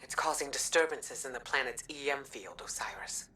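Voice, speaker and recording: A woman voicing a solemn, steady commander in a video game, calm and deliberate, with a breathy, husky voice. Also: very deep voice, heavily acted. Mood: hushed, concerned. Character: solemn, steady female commander offering reassurance.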